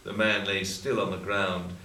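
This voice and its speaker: A man reading a passage of prose aloud to an audience at a public reading, in a measured, steady way. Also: sits low, slight background noise. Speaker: co-author at a reading. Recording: echoey hall, taken from a distance.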